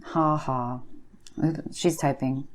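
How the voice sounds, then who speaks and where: A woman's voice: extremely low pitch, fairly even intonation, extremely slow, exaggerated voice. A woman playing a bored, pushy, petulant friend in a comic phone-call sketch, insistent and sarcastic.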